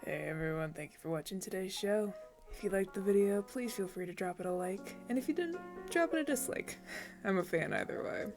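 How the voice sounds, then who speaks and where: A man's voice: high voice, lively intonation, brisk, soft background music. A man chatting in an upbeat, casual way as he addresses his channel's listeners as an audio roleplay creator.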